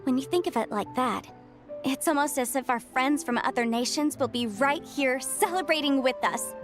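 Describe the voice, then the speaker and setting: A woman's voice: high voice, moderate pace, natural voice. A woman playing a bubbly, curious, chatty young woman in a video game, speaking with enthusiasm. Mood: enthusiastic. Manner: bright-eyed, enthusiastic, conversational. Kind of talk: video game character acting. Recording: faint game music behind the voice.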